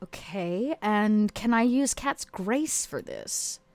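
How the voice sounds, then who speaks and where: A woman's voice: mid-range voice, animated, slow pace, a little mellow, no room sound. A woman talking as a player in a tabletop roleplaying game, casually and sometimes in character.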